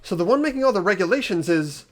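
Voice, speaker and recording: A man voicing an earnest, anxious high school student in a fan-dubbed game, hesitant and questioning. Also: animated, fast talker, faint music behind. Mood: alarmed, puzzled. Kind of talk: fan voice acting of a visual novel game.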